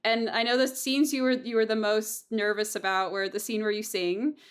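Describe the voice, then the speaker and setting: A woman's voice: medium pitch, steady tone, normal speed, clear, resonant tone. A woman hosting a podcast conversation in a casual, hesitant and rambling way with a giggly streak. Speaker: audio drama creator and host.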